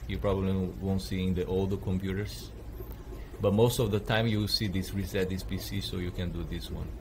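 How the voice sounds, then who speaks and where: A man's voice: low voice, fairly even intonation, a little fast, a little mellow, slight background noise. A man hosting a tech channel, walking viewers through a computer reset in a plain, hesitant way with fillers. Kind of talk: casual how-to tutorial.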